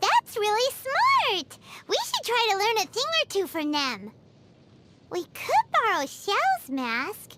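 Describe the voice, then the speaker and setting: A woman voicing a tiny, bubbly, childlike female fairy companion in a video game, squeaky and excitable, dramatic in manner. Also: takes it slowly, very noisy, heavily acted. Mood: bright, excited. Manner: speaks of self in third person. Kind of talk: video game character dialogue.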